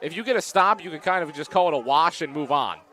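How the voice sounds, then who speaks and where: A man's voice: a little high, lively intonation, fast, pushed and bright. A man giving easygoing, chatty color commentary on a live football game. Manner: easygoing, chatty analysis with filler phrases.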